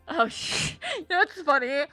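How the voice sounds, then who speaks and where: A woman's voice: high voice, bright, forceful tone, dry recording. A woman in her late twenties, chatting casually with her live-stream chat in a giggly, self-teasing way. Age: late 20s. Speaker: livestreamer.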